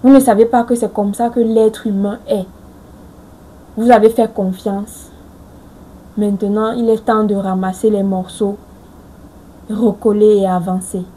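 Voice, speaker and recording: Mellow-voiced woman giving earnest advice. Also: mid-range voice, steady tone, a little slow, long pauses, poor audio. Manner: earnest, reassuring, addresses viewers directly. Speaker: video creator giving life advice. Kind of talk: motivational talk to camera.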